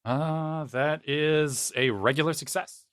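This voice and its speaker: A man's voice: a little high, slow, fairly bright tone, no echo, clean studio sound. A man playing a tabletop role-playing game, talking casually and in character in a slightly rambling way.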